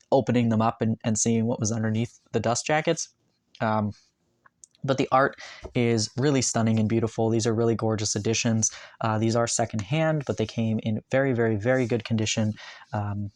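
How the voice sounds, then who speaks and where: A man's voice: medium pitch, dry recording. A man talking casually and enthusiastically about his manga purchases, rambling with many ums and uhs. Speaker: manga collector vlogger. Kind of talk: casual haul video talk. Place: bedroom, indoors.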